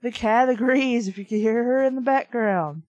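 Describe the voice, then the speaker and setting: A woman's voice: on the high side, normal speed, darkish tone, dry recording, dull audio. A woman reading a book aloud casually, breaking off into snarky, rambling, conversational asides. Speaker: host reading a children's book aloud with commentary.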